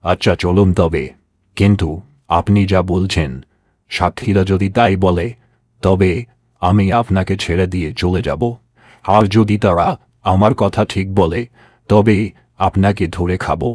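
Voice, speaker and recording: A man's voice: deep voice, steady tone, unhurried, pauses often, a little mellow, no room sound, clean studio sound. A man voicing a sly, ungrateful man-eating tiger in a cartoon fable, smug and menacing.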